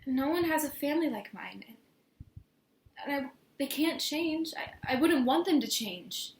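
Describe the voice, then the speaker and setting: Woman acting high-voiced, earnest, flustered young woman in love. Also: animated, unhurried. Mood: anguished, pleading. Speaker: amateur actor performing a monologue. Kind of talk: theatrical stage monologue. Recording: echoey room with faint noise.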